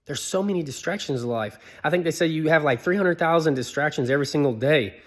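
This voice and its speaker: A man's voice: a little high, fast talker. Man, 30s, light voice, loose conversational motivational speaker. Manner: conversational, filler-heavy, rhetorical questions. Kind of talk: casual motivational talk.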